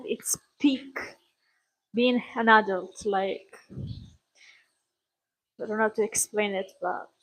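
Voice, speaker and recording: A young woman in her twenties talks straight to her viewers in a candid vlog. Her voice sits a little high, and she circles back and restarts as she searches for the right words. Small grammar slips run through her earnest, rambling delivery. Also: slightly rough, rough recording. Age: 20s. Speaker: vlogger. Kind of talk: personal vlog monologue.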